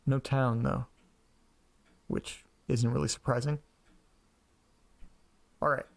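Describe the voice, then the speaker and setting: A man's voice: mid-range voice, steady tone, slow, creaky. A man acting as an anxious, chatty young man in an audio drama, rambling and hesitant in a casual, naturalistic way. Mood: tired, musing. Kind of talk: naturalistic first-person audio drama, talking to himself.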